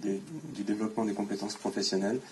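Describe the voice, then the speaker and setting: A man, an equine coaching instructor, presenting his training center at a conference in a casual, halting way with many fillers. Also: lowish voice, monotone, darkish tone, a little hiss or hum. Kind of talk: conference talk.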